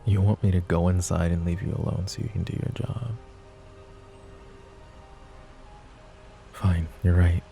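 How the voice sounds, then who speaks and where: A man playing a possessive young adult mafia boss in an ASMR roleplay, speaking low and measured to an unheard listener. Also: little pitch movement, gravelly, dark tone, a little hiss or hum. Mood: heated. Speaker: voice actor.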